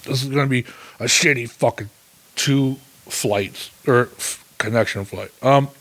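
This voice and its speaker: A man's voice: medium pitch, measured pace, fairly bright tone. A man in his thirties hosting a casual solo podcast, rambling and swearing with lots of fillers and self-mocking asides. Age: 30s. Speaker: podcast host.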